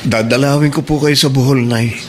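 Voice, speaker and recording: A man's voice: mid-range voice, steady tone, fairly quick, slight background noise, close to an everyday voice. A man acting a respectful, forgiving young son in a Tagalog radio drama, polite and earnest. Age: young adult. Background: dramatic music.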